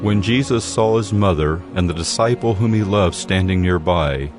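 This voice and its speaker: A man's voice: low voice, normal speed, over music. A man narrating scripture from a script as a solemn dramatized audio Bible storyteller.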